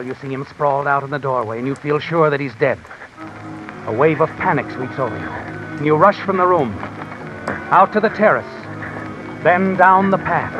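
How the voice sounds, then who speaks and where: A man playing an ominous, all-knowing suspense narrator, telling the tale deliberately to the guilty character in 1950s radio style. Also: fairly high pitch, natural voice. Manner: hushed, deliberate, dramatic second-person telling. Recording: muffled noisy vintage radio, rain behind.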